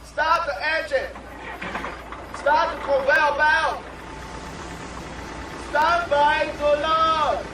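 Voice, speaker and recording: High, slow shouting male overseer. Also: fairly even intonation, echoey room, crowd noise, background noise, full character voice. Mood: commanding. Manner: drawn-out shouted commands. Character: commanding overseer shouting loading orders. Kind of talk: radio drama acting.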